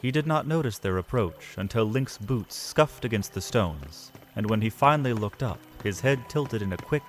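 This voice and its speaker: A man narrating a scripted fantasy audio drama, solemn and measured, with deliberate pauses. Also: lowish voice, animated.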